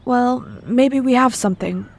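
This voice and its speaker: A woman's voice: a little high, unhurried. A woman playing a polite, inquiring young lady of a wealthy house in an old-time radio drama. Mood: curious, obliging.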